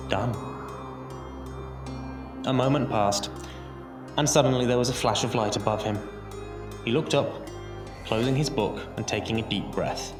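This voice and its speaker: A man narrating an audio drama as a scripted story, theatrical and deliberate, with dramatic pauses. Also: medium pitch. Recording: roomy echo under music. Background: music.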